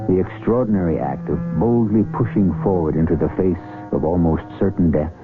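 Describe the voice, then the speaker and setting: Casting: low-voiced man, grave vintage radio drama host, measured rhetorical delivery over loud music. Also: narrow, tinny audio. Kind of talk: dramatic scripted narration, 1970s radio.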